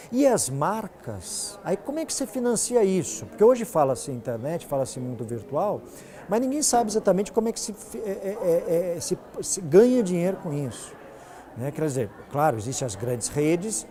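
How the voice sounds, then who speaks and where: Higher-pitched, mellow Brazilian man explaining. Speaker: TV news director being interviewed. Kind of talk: interview answers, explanatory.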